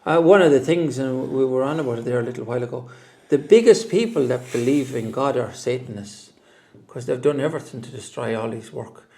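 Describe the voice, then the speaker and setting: A man's voice: mid-range voice, fairly quick, gravelly, mellow. An Irish man, a guest, talking earnestly and emphatically in a casual chat. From Ireland. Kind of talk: casual conversation.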